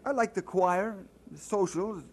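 A man's voice: high-pitched, a little slow, darkish tone, slight background noise. An actor playing an earnest, modest young Scottish man with a hesitant, sincere manner in an old radio drama. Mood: friendly, reserved.

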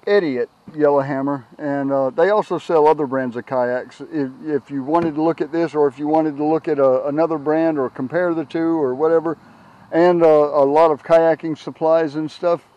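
Middle aged guy showing off gear outside, kinda halting, mellow voice, slightly muffled camera audio. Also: fairly high pitch, steady tone. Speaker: product reviewer, kayak owner. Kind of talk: casual unscripted product walkthrough. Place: outdoors on a grassy yard. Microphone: handheld camera's built-in microphone.

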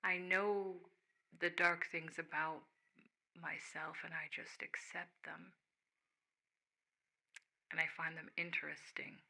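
A woman speaks in a soft ASMR monologue, addressing the listener directly. Her voice sits a little low, yet it has a very bright, crisp edge. She is reflective and leaves pauses between her phrases. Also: level tone. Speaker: ASMR host speaking as the listener's higher self.

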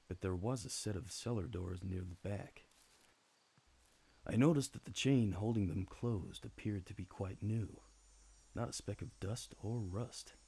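A man playing a world-weary, wry private detective, deadpan, narrating a noir radio drama. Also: lowish voice, vocal fry, husky, exaggerated voice. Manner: deadpan, hardboiled. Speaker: narrator and lead actor.

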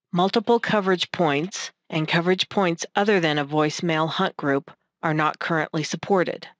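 A woman reading scripted narration for a technical demonstration in a measured, instructional manner. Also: on the low side, no echo, clean studio sound. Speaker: software tutorial narrator.

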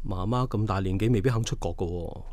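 A man's voice: sits low, fast talker, a little mellow, dry recording. A man playing a caring, steadfast middle-aged husband and father in a radio drama, reassuring and affectionate. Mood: affectionate, easygoing.

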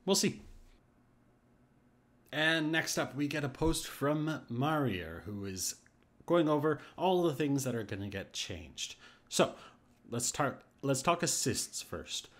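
A man's voice: medium pitch, animated, takes it slowly, a bit bright. A man giving casual, thinking-aloud commentary on game patch notes, with restarts and hesitations. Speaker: gaming YouTuber. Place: voice-over on screen capture.

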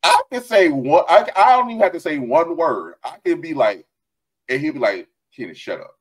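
A man's voice: on the high side, moderate pace. A middle-aged man, an author chatting animatedly over a video call from a room at home, laughing often. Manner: animated, laughs often, gestures while talking. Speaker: author and host. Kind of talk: casual video call chat. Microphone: headset.

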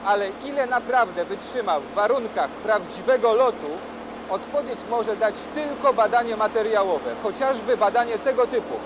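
Man shouting over lab machinery. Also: high voice, normal speed. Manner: raised voice over loud machinery, wearing ear defenders. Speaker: TV science presenter. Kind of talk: on-location TV presenting. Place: indoor test lab beside vibrating machines. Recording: noisy 1980s TV field recording.

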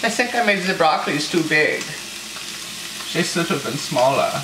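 A man's voice: fairly high pitch, animated, moderate pace, noisy background, natural voice. A teenage boy playing Franz, an anxious, self-pitying home cook who whines melodramatically to his cameraman. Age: teens. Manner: melodramatic, whiny, fretful asides to the cameraman. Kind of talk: comic cooking show skit. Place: home kitchen, indoors. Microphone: camera mic from a handheld camera. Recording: roomy kitchen with frying sizzle. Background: food sizzling in a pan.

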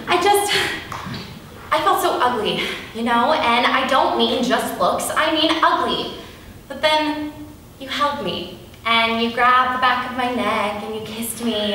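A woman playing a jilted, neurotic girlfriend in a comic stage monologue, rambling and emotional in an animated way. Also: sits high, full character voice. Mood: hurt, flustered. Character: jilted, frantic, neurotic woman pleading with her ex. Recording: echoey room, distant mic, faint noise.

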